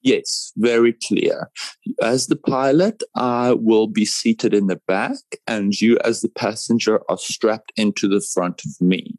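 A man with a fairly low voice speaks at a very unhurried pace. He explains things step by step in a reassuring way, often repeating phrases for emphasis. The sound is dry, with no room echo. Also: fairly even intonation, a little mellow. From South Africa. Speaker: paragliding pilot, interview guest. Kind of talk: conversational interview answers.

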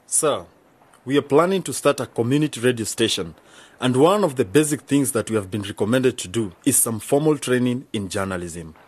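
A man's voice: medium pitch, lively intonation, a little fast, a little mellow, breathy edge. A man acting as a keen, ambitious young man in a radio drama, earnest and polite. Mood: eager, earnest.

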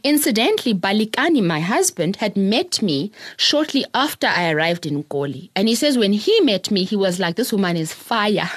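A woman's voice: medium pitch, big pitch swings, moderate pace, no room sound, studio quality. A South African woman telling her personal story as a podcast guest, thoughtful, often laughing and repeating words as she thinks.

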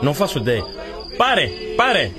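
An officious gate guard in a radio drama. He is stern and very lively in pitch, barking orders over clear music. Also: moderate pace.

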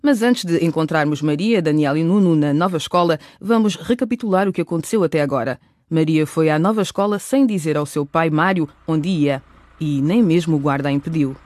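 A man's voice: a little high, brisk, darkish tone, no echo, clean studio sound. A man reading scripted narration as the storyteller of a radio drama series.

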